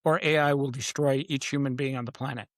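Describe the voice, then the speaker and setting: A man's voice: mid-range voice, fairly even intonation, brisk, fairly bright tone, dry recording, clean studio sound. A man who is a podcast guest and author, talking in a confident, emphatic way. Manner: emphatic, confident, repeats "literally". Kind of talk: casual podcast interview.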